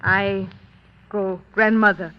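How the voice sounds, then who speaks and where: An actress playing a stubborn, solemn mother on the witness stand, speaking terse, halting broken English in an old radio drama. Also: mid-range voice, flat delivery, lightly acted. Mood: stolid, halting. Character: stubborn, solemn Native woman, mother giving testimony. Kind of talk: 1930s radio drama acting. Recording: dull old radio recording.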